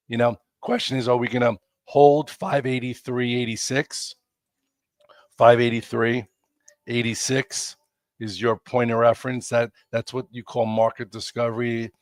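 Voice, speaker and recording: A man's voice: medium pitch, no room sound, clean studio sound. A man, congested and losing his voice, hosting a chatty, rambling live stock market show with lots of fillers. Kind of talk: casual live market commentary.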